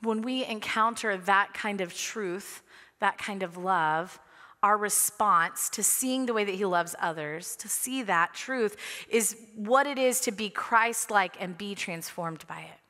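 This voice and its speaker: Bright-voiced woman preaching in a sanctuary. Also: mid-range voice, a little slow. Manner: earnest, warm storytelling with light humor. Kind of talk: sermon. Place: large church sanctuary stage. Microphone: headset mic. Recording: long reverb of a large sanctuary.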